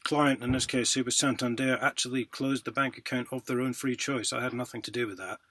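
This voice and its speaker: A man's voice: medium pitch, steady tone, brisk, a bit bright, no echo. A British man in a casual phone conversation, speaking in an insistent, plain-spoken, matey way. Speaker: caller disputing a court judgment.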